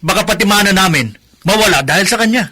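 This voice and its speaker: A man's voice: high voice, lively intonation, fast talker, pushed and bright, a little hiss or hum. A man playing a smug, womanizing elder brother and greedy son in a Filipino radio drama. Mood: indignant.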